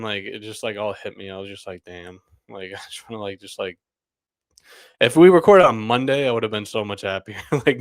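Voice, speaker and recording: A man's voice: lowish voice, smooth, no room sound. A young man hosting a casual sports podcast, a little under the weather and rambling with lots of "like" and laughs. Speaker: podcast host.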